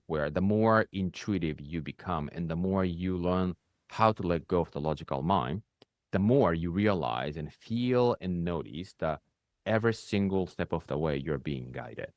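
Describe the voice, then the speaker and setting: Man, Japanese accent, low voice, dry close sound, reflective coaching talk, keeps asking "right?". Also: no echo. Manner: speaks in rising bursts, often ends with "right?". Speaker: spiritual coach hosting a conversation. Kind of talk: reflective conversational teaching.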